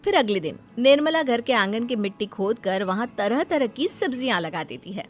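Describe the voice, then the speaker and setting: A woman's voice: on the high side, lively intonation, normal speed, slight background noise. A woman reading scripted story narration.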